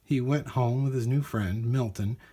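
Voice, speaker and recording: A man reading a children's storybook aloud in an animated, expressive way with dramatic emphasis. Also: mid-range voice, normal speed, breathy edge. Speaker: storybook reader.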